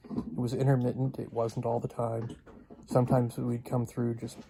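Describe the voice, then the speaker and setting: A man talking casually and unscripted for a vlog, in a halting, hesitant way with long pauses. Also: mid-range voice, monotone, vocal fry, background noise. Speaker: vlogger.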